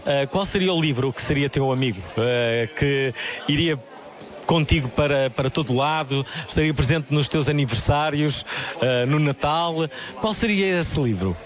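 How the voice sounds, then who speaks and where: Halting male radio host. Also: a little high. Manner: chatty, halting, many fillers. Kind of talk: live radio interview. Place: outdoor book fair.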